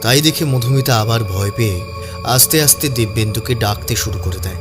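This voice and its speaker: A man's voice: medium pitch, a little fast. Male story narrator over background music. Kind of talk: scripted dramatic story narration.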